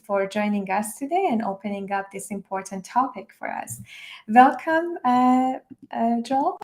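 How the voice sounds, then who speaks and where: A woman's voice: medium pitch, a little mellow. Welcoming woman hosting a live webinar. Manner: welcoming, effusive with thanks. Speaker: host of a live broadcast.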